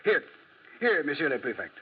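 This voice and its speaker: An actor in a 1940s radio drama playing a self-assured, superior French detective with a theatrical, deliberate, teasing delivery. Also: male voice, a little high, big pitch swings, breathy edge, heavily acted. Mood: amused, condescending. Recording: muffled vintage 1940s radio recording.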